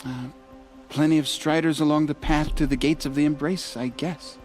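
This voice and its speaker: A man playing an earnest, gentle young tribesman in a video game, sincere and a little hesitant. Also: on the high side, steady tone, normal speed, soft background music, natural voice. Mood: reverent, sincere.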